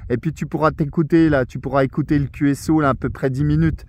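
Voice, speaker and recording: Man, ham operator on a night mountaintop, a touch higher-pitched, friendly and chatty, faint background hiss. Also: fairly even intonation, quick pace, a little mellow, dull audio. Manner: friendly, chatty, polite sign-offs. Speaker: amateur radio operator and video maker. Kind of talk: casual radio chat. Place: outdoors on a mountain at night by a tent. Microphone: handheld radio mic.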